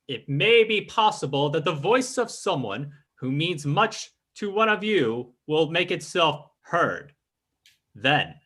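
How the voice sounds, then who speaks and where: Man playing a slow, theatrical seance mystic. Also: high-pitched, fairly bright tone, full character voice. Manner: grandiose, theatrical, measured intoning. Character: solemn, mysterious mystic leading a seance. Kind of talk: old-time radio drama acting.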